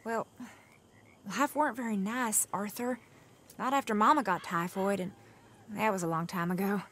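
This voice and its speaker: A woman playing a gentle young gang member. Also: mid-range voice, fairly even intonation, normal speed, a little hiss or hum, natural voice. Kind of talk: video game cutscene acting.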